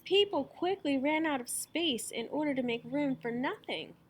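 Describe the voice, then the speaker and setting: High, animated woman reading a story. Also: normal speed. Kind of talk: expressive children's story reading.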